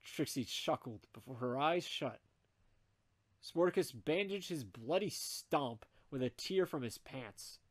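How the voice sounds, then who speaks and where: A man narrating fan fiction in an amateur comedic group reading, animated and dropping wry asides. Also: mid-range voice, a little slow.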